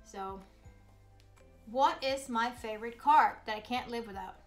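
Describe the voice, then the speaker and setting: Swedish woman, 30s, upbeat vlogger, a bit high, soft music underneath. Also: measured pace. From Sweden. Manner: bubbly, upbeat and chatty. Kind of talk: casual Q&A vlog.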